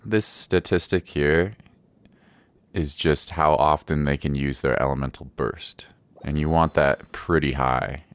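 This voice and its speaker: A woman's voice: a bass voice, dry recording. A woman giving casual live gameplay commentary as a streamer, rambling and thinking aloud. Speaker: game streamer.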